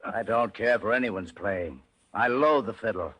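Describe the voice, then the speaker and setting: Gruff, husky, impatient millionaire. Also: male voice, on the low side, a little slow, no room sound. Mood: irritated. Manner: blunt, impatient, forceful. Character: gruff, aggressive, aggrieved middle-aged American millionaire businessman. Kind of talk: old-time radio drama acting. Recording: vintage radio drama recording.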